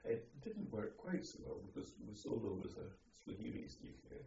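A man's voice: medium pitch, wildly swooping pitch, mellow. Hesitant elderly man, dull echoey recording. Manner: hesitant, halting, reflective. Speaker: interviewee, former army officer. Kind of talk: oral history reminiscence. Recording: dull, noisy, echoey, distant microphone.

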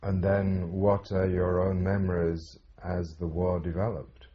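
A man's voice: sits low, flat delivery, a little slow, lo-fi sound. A male interviewer asking brief, polite questions in an oral history interview.

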